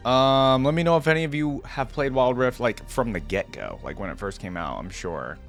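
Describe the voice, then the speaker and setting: A man with a mid-pitched voice hosts a casual gaming reaction. He moves between reading aloud and offhand commentary. Music plays along with him. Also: lively intonation, normal speed. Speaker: reaction video host.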